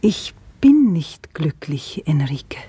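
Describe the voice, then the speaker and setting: An actress playing a troubled, brooding widow in a German radio play, speaking haltingly and intensely, often dropping toward a whisper. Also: female voice, deep voice, big pitch swings, warm tone, slightly rough, no echo, heavily acted. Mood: uneasy, pleading. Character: troubled, brooding widow Inés, estranged from her fiancé.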